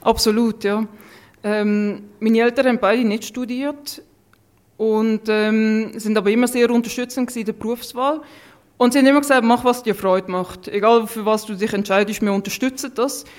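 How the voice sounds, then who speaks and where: A woman's voice: fairly high pitch, steady tone, moderate pace, darkish tone. A woman in her thirties with a mixed Swiss German accent, speaking casually and reflectively as a podcast guest. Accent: Swiss German, mixed Lucerne, Thurgau and Zurich. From Switzerland. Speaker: interview guest, food scientist.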